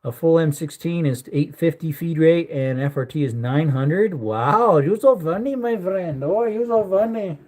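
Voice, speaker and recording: A man's voice: fairly high pitch, measured pace, hardly any pauses, darkish tone. A man hosting a casual livestream, rambling and joking while greeting viewers in the chat. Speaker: livestream host.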